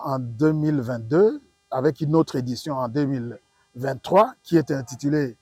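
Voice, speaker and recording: Congolese male expert, husky, didactic and emphatic, dry interview sound. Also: on the high side, a little mellow, no echo. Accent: Congolese French. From Democratic Republic of the Congo. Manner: didactic, emphatic, rhetorical questions and repetition. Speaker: professor, linguistics expert. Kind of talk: interview, explaining to an audience.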